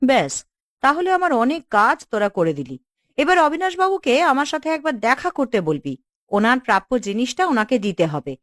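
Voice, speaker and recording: Woman playing a bossy, animated cartoon official. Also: high voice, normal speed, no room sound, clean studio sound. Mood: smug, scheming. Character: bossy, scheming female government official, impatient and greedy. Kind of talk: Bengali cartoon dialogue.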